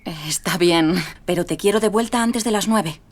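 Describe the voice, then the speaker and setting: Gracious, gently firm mother, played by a woman. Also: fairly low pitch, moderate pace, natural voice. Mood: polite. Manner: courteous, gracious. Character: polite, grateful, gently firm mother of a young girl. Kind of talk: audio drama acting.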